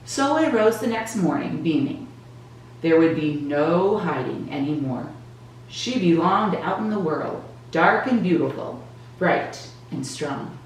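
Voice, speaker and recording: A woman narrates a children's picture book in a low register, taking her time over the words. Her delivery is expressive and deliberate. The sound is very echoey, picked up some way from the microphone. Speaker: children's storybook reader for a church Sunday school. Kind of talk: scripted storybook narration for children. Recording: roomy echo, distant mic, faint noise.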